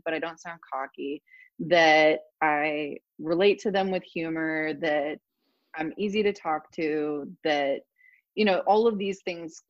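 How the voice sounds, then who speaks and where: A woman telling stories in a casual interview, animated, laughing often and filling with "like". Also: deep voice, no room sound, highs cut off. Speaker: guest.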